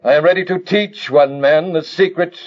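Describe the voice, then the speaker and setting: Casting: male actor as grave alien leader-scientist, high voice, theatrical declamation, old sci-fi radio serial with dull lo-fi sound. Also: normal speed, natural voice. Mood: solemn. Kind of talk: old-time radio sci-fi drama acting.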